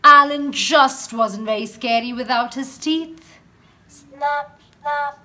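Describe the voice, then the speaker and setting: A woman with an Indian English accent, a teacher reading a picture book aloud in a very slow, expressive storytelling way. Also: high voice.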